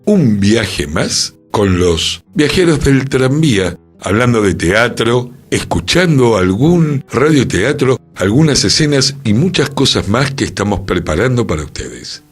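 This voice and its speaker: An Argentine man presents a radio column in a conversational way. His pitch moves in a lively range while he takes his time over the words. Soft music plays faintly underneath him. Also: a little slow, breathy edge. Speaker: radio show co-host and columnist. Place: radio studio.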